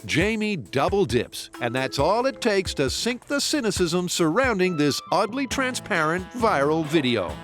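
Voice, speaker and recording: Male narrator, slightly high and very animated, playful scripted reads over a music bed. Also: measured pace, few pauses. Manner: playful, punning delivery.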